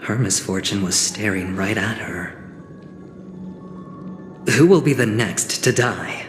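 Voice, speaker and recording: Sly young gambler-negotiator, cocky, teasing, theatrical game dialogue, reverberant, music underneath. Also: male voice, lowish voice, takes it slowly. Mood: accusing, suspenseful. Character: smooth, scheming young male gambler-negotiator, cocky and insinuating. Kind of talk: dramatic video game voice acting.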